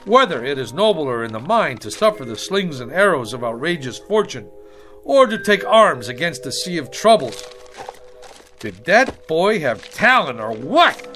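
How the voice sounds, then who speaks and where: An actor playing a brash, anxious hustler of a writer, wheedling in slangy, street-wise grammar. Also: male voice, a little high, very animated, moderate pace, sharp tone. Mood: dreamy, boastful. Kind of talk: comic TV drama acting. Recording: music under the dialogue.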